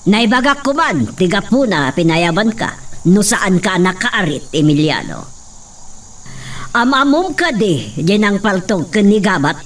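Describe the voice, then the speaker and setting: Actress as a haughty rich doña, imperious and accusing, radio drama, a little distant from the mic. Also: female voice, mid-range voice, very animated, unhurried. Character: haughty wealthy landowner woman, interrogating. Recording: distant mic with faint noise.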